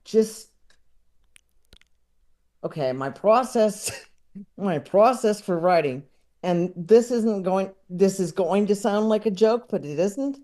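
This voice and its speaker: Slow-talking, hesitant man, soft mellow voice. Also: high-pitched, expressive. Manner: hesitant, rambling, self-deprecating. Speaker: podcast guest, comedy writer and filmmaker. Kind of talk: casual interview chat.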